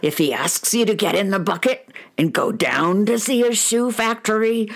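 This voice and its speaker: A woman playing a gruff goblin with a gravelly voice in a children's audio drama. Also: medium pitch, normal speed, natural voice. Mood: gruff warning. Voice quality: gravelly, creaky. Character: gruff, scurrying goblin who likes horrible things.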